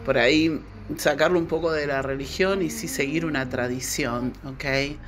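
A woman whose first language is German, hosting a podcast interview in a halting, effusive way, with many restarts and throat clearings. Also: deep voice, fairly even intonation, music in the background. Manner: effusive, heartfelt thanks. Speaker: podcast host.

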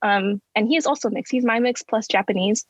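A woman's voice: fairly high pitch, brisk, breathy edge, no room sound, dull audio. A young woman, a university student, speaking as a podcast guest in an earnest, self-deprecating way. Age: young adult. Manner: earnest, self-deprecating, many fillers and restarts. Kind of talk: casual interview conversation.

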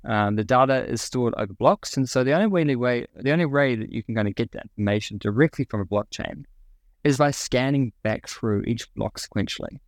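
A young man from New Zealand, with the accent to match, walks through technical ideas in an easygoing explanatory way. He pauses on plenty of ums and restarts his sentences. His mid-pitched voice is recorded close and dry. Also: no echo. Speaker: guest, product officer. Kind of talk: podcast interview.

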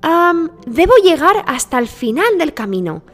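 A woman's voice: high voice, big pitch swings, a little slow, pitched above the performer's own voice. A woman voicing a sweet, innocent little girl in a children's story, with a childlike singsong manner. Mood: innocent, friendly. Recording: long reverb with music behind.

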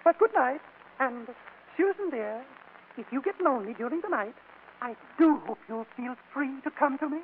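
A falsetto, prim spinster lady. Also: lively intonation, normal speed, narrow, tinny audio. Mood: coy, sweet. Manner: genteel, coy, fussy. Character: man disguised as his prim, touchy spinster sister. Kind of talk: 1940s radio comedy acting. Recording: noisy, band-limited old radio recording.

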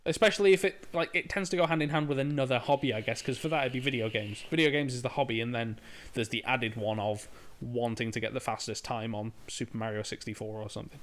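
A man, talking casually as a co-host. He explains things in a relaxed, rambling way, with an ordinary mid-pitched voice. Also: quick pace, hardly any pauses. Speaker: podcast co-host. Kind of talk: casual chat.

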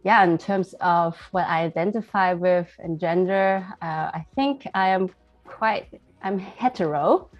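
A woman's voice: fairly low pitch, measured pace, dull audio, soft background music. A young woman from Germany, a podcast guest talking openly and casually, peppering her speech with "like" and "I think". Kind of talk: casual personal interview.

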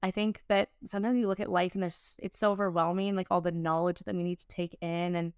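A woman's voice: fairly low pitch, level tone, fast, clear, resonant tone, no echo, clean studio sound. A woman in her late twenties talking casually as a podcast host, in an informal, rambling way sprinkled with "like". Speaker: podcast host and business owner. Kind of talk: casual conversational podcast chat.

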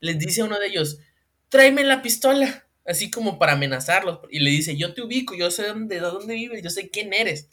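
A man's voice: high voice, normal speed. A man with a Mexican accent co-hosting a casual comedic chat about viral news, slangy and laughing often. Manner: animated, slangy, laughs often, mimics voices. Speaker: co-host.

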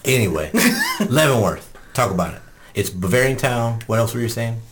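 A man's voice: medium pitch, animated, normal speed, a bit bright. A man hosting a casual group podcast, joking and ribbing his friends, with frequent swearing. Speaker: podcast host.

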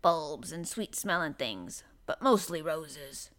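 A woman's voice: sits low, expressive, slow pace, fairly bright tone, voice pushed down, full character voice. A woman voicing a grumpy old male gardener with a Yorkshire dialect and a grumbling manner, in an audiobook. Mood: gruff, plain. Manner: grumbling, grunting, dialect speech. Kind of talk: audiobook character voice.

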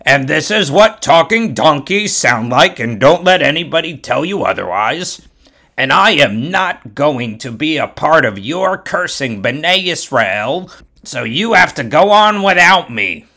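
A man voicing a stubborn, indignant talking donkey in a told story, making emphatic, drawn-out declarations. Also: a little high, big pitch swings, vocal fry, sharp tone, full character voice. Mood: indignant, defiant. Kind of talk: storybook character voice.

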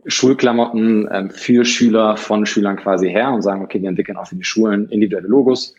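A man from Hamburg with a lower voice rattles through his answers at a rapid clip as a podcast guest. He hesitates often and restarts his sentences along the way. The recording is muffled and lo-fi. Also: fairly even intonation, darkish tone. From Hamburg, Germany. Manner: fast, hesitant with many fillers and restarts. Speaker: startup founder, guest. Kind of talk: conversational interview answers. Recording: dull lo-fi sound.

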